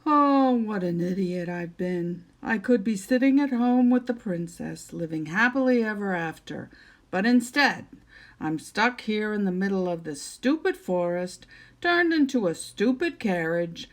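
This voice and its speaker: A woman's voice: medium pitch, expressive, measured pace, natural voice. A woman voicing a young fairytale prince who is earnest, polite and insistent, and easily flustered. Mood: exasperated.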